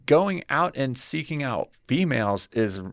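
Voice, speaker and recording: A man's voice: mid-range voice, sing-song, a little slow, fairly bright tone, no room sound. A man on a podcast, reflective, thinking aloud in broken fragments. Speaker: podcast co-host, writing a book. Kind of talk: casual conversation.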